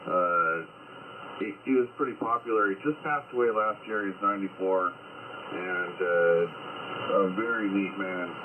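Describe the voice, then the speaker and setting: Low-voiced man on a noisy radio. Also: a little slow. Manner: friendly, curious questions. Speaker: ham radio operator. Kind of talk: casual radio chat. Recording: tinny radio line with noise.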